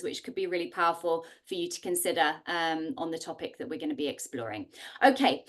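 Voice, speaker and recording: A woman's voice: medium pitch, a bit bright. A woman in her forties hosting and presenting a live online masterclass over a video call. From Singapore. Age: 40s. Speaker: host and co-founder presenting a masterclass.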